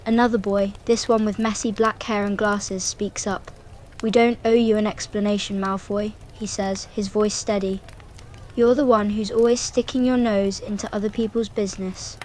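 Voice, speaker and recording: A woman's voice: mid-range voice, little pitch movement, moderate pace, darkish tone, slight background noise, rough recording. A woman reading scripted third-person story narration with lines of dialogue.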